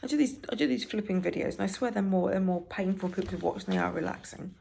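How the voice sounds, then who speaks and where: A British woman with a raspy voice, softly reading newspaper stories for ASMR and adding wry, halting asides. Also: mid-range voice, slight background noise. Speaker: ASMR creator.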